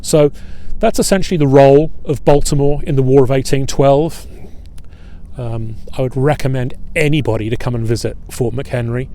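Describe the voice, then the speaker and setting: Mellow-voiced man guiding a history tour. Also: mid-range voice. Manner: relaxed, hesitant, with many filler pauses. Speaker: historian and battlefield guide. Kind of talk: outdoor guided history tour talk. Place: outdoors at a waterfront fort.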